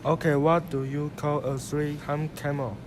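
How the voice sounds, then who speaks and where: A man's voice: fairly high pitch, level tone, measured pace, close to an everyday voice. A man playing a sly male fox who teases in a leisurely way in an amateur radio play. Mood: sly, teasing. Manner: teasing, leisurely. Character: sly, teasing male fox, smooth talker. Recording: noisy amateur recording.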